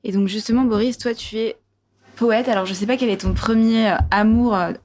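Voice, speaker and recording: A woman's voice: fairly high pitch, steady tone, a little fast, darkish tone, a little hiss or hum. A woman moderating a panel discussion, asking questions in a friendly way with some fillers.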